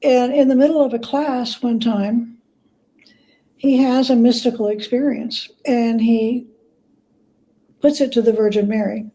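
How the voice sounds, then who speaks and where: High-pitched woman telling stories, mellow tone. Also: fairly even intonation, a little slow, pauses often, low-quality recording. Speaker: guest biographer. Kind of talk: conversational anecdotal storytelling.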